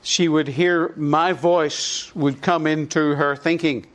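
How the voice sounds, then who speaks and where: A man's voice: a little high, steady tone, slow pace, a bit bright. A middle-aged man with an Irish accent, preaching a sermon and leading the congregation in call-and-response. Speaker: pastor.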